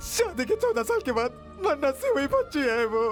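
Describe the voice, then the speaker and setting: An actor playing a guilt-ridden man who pleads haltingly and repeats himself, in emotional drama style. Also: high-pitched, big pitch swings, darkish tone, faint music behind. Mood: desperate pleading.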